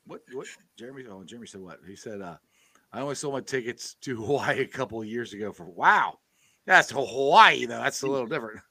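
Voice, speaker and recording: A man's voice: mid-range voice, expressive, a little fast, pushed and bright, dry recording. A man hosting a casual podcast stream, chatty and laughing often. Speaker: podcast host. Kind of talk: casual chat on a live stream.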